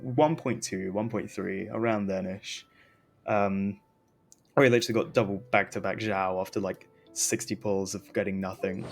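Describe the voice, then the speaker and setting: A man chatting casually as he streams a game, rambling and often interrupting himself, with some noise on the recording. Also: fairly low pitch. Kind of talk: casual gaming stream chat.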